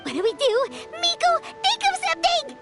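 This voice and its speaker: A woman voicing a tiny, excitable fairy companion in a game, exclaiming at everything. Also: very high-pitched, normal speed, soft background music, full character voice. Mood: excited. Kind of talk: game voice acting.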